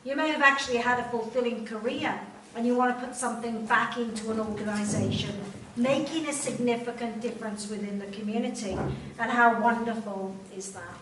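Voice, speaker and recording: A Welsh woman addresses a gathering from a distance, her fairly high voice carrying around an echoey room with a faint hum of ambience behind. She talks informally to the crowd, dotting her speech with ums and friendly asides. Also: steady tone, a little fast. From Wales. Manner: warm, informal speaking with many ums and direct questions to the audience. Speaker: chief executive giving a speech. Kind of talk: speech to an audience at an event. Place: large room at a volunteer event.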